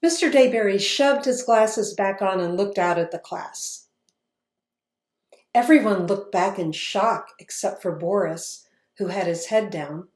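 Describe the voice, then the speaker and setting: Woman author, children's read-aloud, unhurried, roomy sound. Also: mid-range voice. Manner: slow, expressive storytelling. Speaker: author reading her book aloud. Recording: roomy echo.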